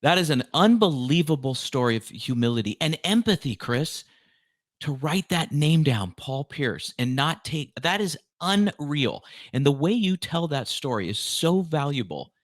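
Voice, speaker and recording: A man hosting an interview podcast in an earnest, effusive way, with deliberate phrasing. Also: fairly high pitch, very animated, dry recording, studio quality. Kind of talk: conversational interview.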